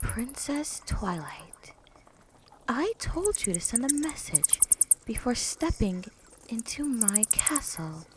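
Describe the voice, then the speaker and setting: A woman's voice: fairly high pitch, very animated, takes it slowly, a little hiss or hum, low-quality recording. A woman voicing a stern, aloof female bat pony who rules a castle, speaking breathily and imperiously in a story reading. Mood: cold, annoyed.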